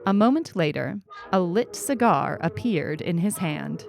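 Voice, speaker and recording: A woman reads fiction aloud in a scripted narration style. Her voice sits a little low and she takes her time. Faint music accompanies the reading. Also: lively intonation, unhurried, gravelly, a little mellow. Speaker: story reader.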